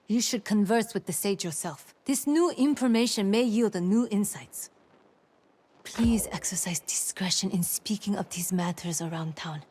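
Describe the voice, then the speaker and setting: A woman voicing a discreet, formal figure of authority in a fantasy video game, with a measured, guarded manner. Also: mid-range voice, darkish tone, breathy edge.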